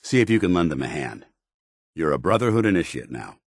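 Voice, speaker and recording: An actor playing a stern, duty-bound military commander in a video game, speaking formally with clipped authority. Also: male voice, rumbling low, very animated, a little fast, dry recording, clean studio sound, heavily acted. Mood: commanding, businesslike. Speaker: commanding officer. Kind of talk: scripted video game dialogue.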